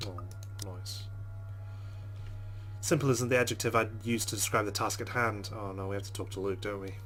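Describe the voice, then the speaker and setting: A man's voice: lowish voice, steady tone, moderate pace, a bit bright, soft background music. A man playing a visual novel, reading its narration aloud and adding casual, joking asides. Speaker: let's play gamer. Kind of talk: reading game text aloud with commentary.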